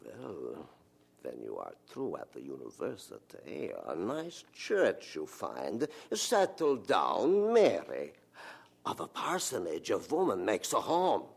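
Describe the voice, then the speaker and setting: A man's voice: deep voice, huge pitch swings, slow pace, gravelly, slightly rough, heavily acted. An actor playing a self-pitying, worldly old father with a German accent, wheedling and plaintive in a radio drama.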